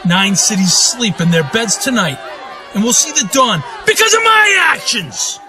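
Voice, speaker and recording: A man's voice: extremely high pitch, big pitch swings, unhurried, a crowd in the background, background noise, full character voice. A man playing a proud, vengeful duke who claims a throne in a fantasy radio drama, declaiming in righteous, grandiose oratory. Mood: fierce, righteous. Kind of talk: radio drama monologue in a fantasy audio play.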